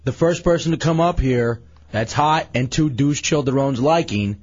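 A man's voice: mid-range voice, moderate pace, darkish tone, breathy edge, lo-fi sound. A man co-hosting a radio show with a sick, worn voice, joking and rambling in casual banter. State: sick, losing his voice.

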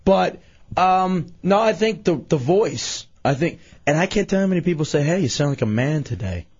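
A man's voice: on the high side, very animated, moderate pace, darkish tone, husky, muffled recording. A man co-hosting a radio show with a sick, worn voice, joking and rambling in casual banter. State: sick, losing his voice.

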